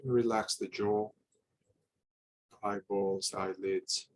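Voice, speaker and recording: A man guiding a meditation with calm instruction, speaking in unhurried, halting short phrases with long pauses. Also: fairly low pitch. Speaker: meditation guide.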